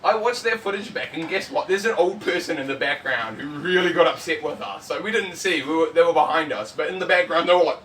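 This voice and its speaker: A loud man hosting a casual vlog, boisterous and teasing as he banters to camera. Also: on the high side, animated, quick pace, hardly any pauses. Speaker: host. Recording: distant mic, faint background noise.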